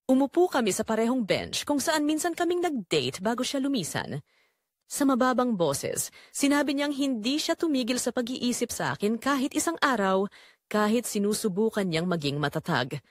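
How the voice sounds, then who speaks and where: A woman reading a romance story as dramatic scripted narration, in a measured, emotive way. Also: mid-range voice, no echo, clean studio sound.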